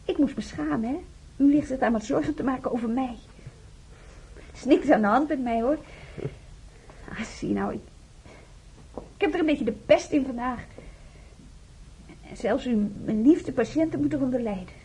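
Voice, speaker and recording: Young nurse, high creaky voice. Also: female voice, moderate pace, pauses often, dark tone, slight background noise, low-quality recording. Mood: downcast, confiding. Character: young female nurse, self-reproaching, candid, fond of her patient. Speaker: radio play actor. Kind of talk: naturalistic radio drama dialogue.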